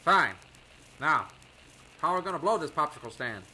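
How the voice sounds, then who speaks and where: An actor playing an excitable, slangy, wisecracking jailed pilot with a sharp, rough-edged voice in old-time radio drama. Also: male voice, on the high side, normal speed, slight background noise, exaggerated voice, low-quality recording. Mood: wry, impatient.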